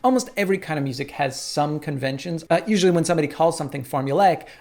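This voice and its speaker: A man casually explaining his songwriting workflow in a relaxed tutorial, with frequent "uh" and "like". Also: fairly high pitch, fast talker. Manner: relaxed, lots of "uh" and "like".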